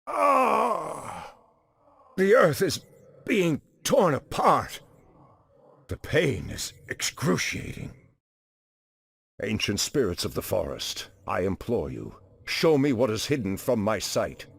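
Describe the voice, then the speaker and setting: A man voicing an ancient, solemn and commanding druid leader in a fantasy video game, with a grave, formal, theatrical delivery and a gravelly, husky voice. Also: mid-range voice, huge pitch swings, slow, fairly bright tone, no echo, full character voice. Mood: agonized, then imploring, solemn.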